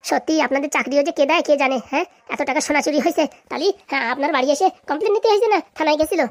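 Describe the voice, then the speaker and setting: Woman acting indignant cartoon villager, high-pitched, breakneck pace, sarcastic, argumentative. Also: racing, no room sound, clean studio sound. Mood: mocking, defiant. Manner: argumentative, sarcastic, long-winded. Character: cheeky, indignant villager accused of theft, talking back. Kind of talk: comic cartoon dialogue.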